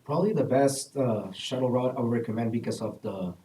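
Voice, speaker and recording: A young man from El Salvador with a mellow, warm voice answers panel questions earnestly and drops in an "uh" here and there. He sounds set back from the mic in a roomy, echoey space. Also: medium pitch, brisk, slight background noise. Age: young adult. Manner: earnest, recommending things with frequent "uh" fillers. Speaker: student panelist. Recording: echoey room, distant mic.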